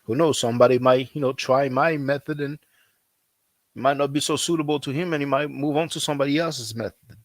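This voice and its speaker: A man at a middle pitch speaks English as a second language and talks to his viewers in a loose, chatty way. He circles back on his phrases and leans on fillers. The sound is dry and close, with almost no room around him. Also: steady tone, fairly quick, no echo. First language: not English. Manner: repetitive, conversational, frequent fillers. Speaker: language teacher. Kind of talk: casual promotional talk.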